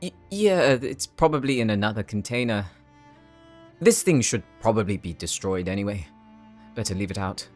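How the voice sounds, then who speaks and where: Low-voiced, earnest space tech played by a man. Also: animated, moderate pace, a little mellow, soft background music, natural voice. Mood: explanatory. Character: earnest, homesick, chatty male spaceship tech worker from space colony. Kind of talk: anime-style audio roleplay acting.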